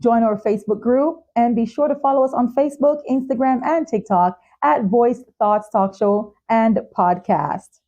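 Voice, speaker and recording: High-voiced, mellow woman talk show host. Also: normal speed, lo-fi sound. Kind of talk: scripted show introduction and sponsor reads, interviewing.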